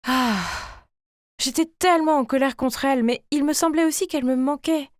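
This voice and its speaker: A woman reading a scripted first-person story in a theatrical, expressive way, like a teenage girl telling her own drama. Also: high-pitched, moderate pace, no echo, studio quality.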